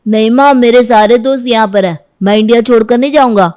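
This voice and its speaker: A woman's voice: a little high, fairly even intonation, quick pace, a little mellow, close to an everyday voice. A woman voicing an earnest schoolboy who defends himself to his mother in a moral story. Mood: defensive.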